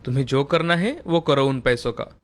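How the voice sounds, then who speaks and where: A man's voice: mid-range voice, moderate pace, lightly acted. A man voicing a dutiful, agreeable grown son from a village in a cartoon story. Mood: obliging. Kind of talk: Hindi cartoon story voice acting.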